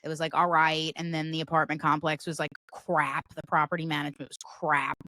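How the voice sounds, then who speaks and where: A woman with a deep voice, guesting on a podcast and talking in a loose, rambling way full of "like". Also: flat delivery, fairly quick, bright, forceful tone. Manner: rambling, heavy use of "like" fillers. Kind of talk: casual chat.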